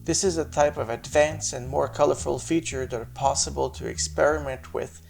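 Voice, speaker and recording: A man with a gravelly, creaky voice and a mid-range pitch narrates a tech demo. He explains things step by step in a measured, methodical way. Guitar music plays behind him. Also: steady tone, darkish tone. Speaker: maker presenting his hardware and firmware project.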